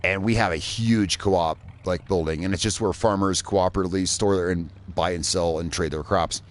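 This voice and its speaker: Casting note: rambling male game streamer from Indiana, lower voice, loose and profane. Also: fairly even intonation, moderate pace. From Indiana, USA. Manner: rambling, chatty, swears freely. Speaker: gaming streamer. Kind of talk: casual live gameplay commentary.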